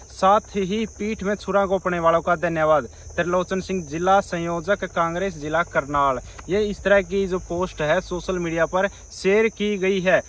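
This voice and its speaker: A man presenting an informal news report to viewers in a halting, repetitive way with frequent hesitations. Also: high voice, fairly bright tone, low-quality recording.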